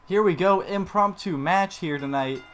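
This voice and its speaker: A man's voice: high voice, moderate pace, a bit bright, soft background music. Playful laughing man calling a game. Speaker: gaming commentator. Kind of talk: casual let's-play commentary.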